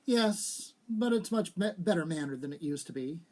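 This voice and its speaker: A man's voice: on the high side, lively intonation, moderate pace, darkish tone. A man voicing a willful, coaxing younger female socialite in a story reading. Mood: breezy, teasing. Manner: coaxing, gushing.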